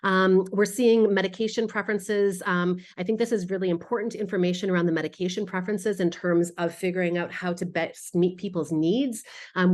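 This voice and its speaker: A woman gives a research presentation in a slightly low voice. She explains findings in a measured, semi-scripted way, stressing key points and dropping in small asides as she goes. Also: darkish tone.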